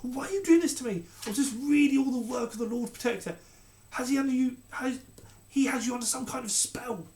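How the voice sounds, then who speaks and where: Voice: sing-song, moderate pace. A narrator putting on a frantic, pleading queen. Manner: pleading, high and breathless. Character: distraught queen accused of treason. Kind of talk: storybook character reading.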